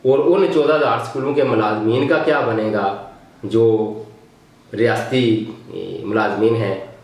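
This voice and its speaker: A Pakistani man giving unscripted political commentary as a vlogger, speaking in halting, broken phrases as he thinks aloud. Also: mid-range voice, a little mellow. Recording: very echoey, reverberant room.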